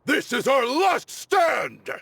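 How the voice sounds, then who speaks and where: An actor playing a defiant, battle-hardened mercenary leader in a video game, rallying fighters with a slow, forceful delivery. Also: male voice, very high-pitched, big pitch swings, gravelly, breathy edge, exaggerated voice. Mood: rousing, defiant. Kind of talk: dramatic video game voice acting.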